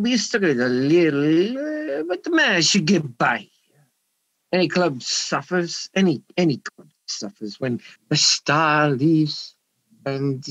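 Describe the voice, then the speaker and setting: A man's voice: fairly high pitch, fairly bright tone, no room sound, full character voice, poor audio. A man playing a nervous, evasive French nightclub owner in an amateur radio mystery, stammering, repeating himself and getting defensive. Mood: defensive, flustered.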